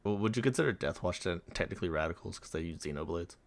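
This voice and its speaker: A man's voice: low voice, lively intonation, fast, dry recording. A man co-hosting a podcast, chatting casually and chipping in with comments. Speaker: podcast co-host. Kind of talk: casual chat.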